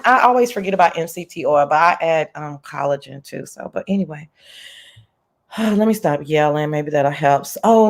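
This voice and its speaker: A woman chatting casually as a livestream host, telling gossip in a slangy, profane, animated way and often wandering off on tangents. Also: deep voice.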